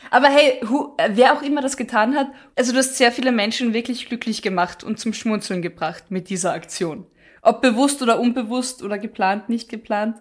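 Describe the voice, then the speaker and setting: Chatty high-voiced Austrian woman. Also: brisk. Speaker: podcast co-host. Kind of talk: casual chat, reading listener messages.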